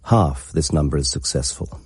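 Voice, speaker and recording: An actor playing a grave chronicler of disasters, giving measured, factual scripted narration in a radio drama. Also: male voice, rumbling low, darkish tone, husky, dry recording, studio quality, full character voice.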